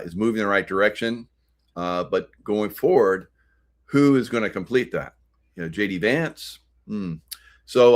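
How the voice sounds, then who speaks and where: An American man hosting an unscripted political commentary, speaking in a halting, rambling way. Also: fairly low pitch, fairly bright tone. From United States. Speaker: host of a political commentary show.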